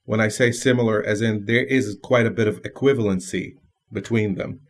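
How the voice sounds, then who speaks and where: Low-voiced Lebanese-born man, thoughtful. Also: steady tone. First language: Lebanese Arabic. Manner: thoughtful, with frequent pauses and restarts. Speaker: guest. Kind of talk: casual conversation.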